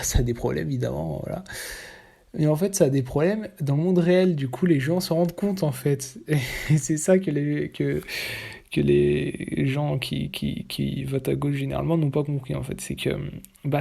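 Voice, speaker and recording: A man giving casual, blunt, opinionated commentary, talking haltingly with many fillers and restarts. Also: medium pitch, vocal fry, dark tone.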